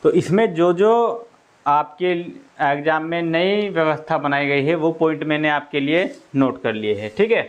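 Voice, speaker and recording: A man's voice: a little high, normal speed, dull audio. A man explaining exam news informally as a coach, repeating his points and often checking that listeners follow.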